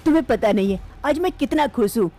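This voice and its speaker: A woman's voice: fairly high pitch, animated, fast talker, dark tone. Woman doing a scheming young office guy. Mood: coaxing. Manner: sweet-talking, coaxing. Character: smooth-talking young male office worker, scheming.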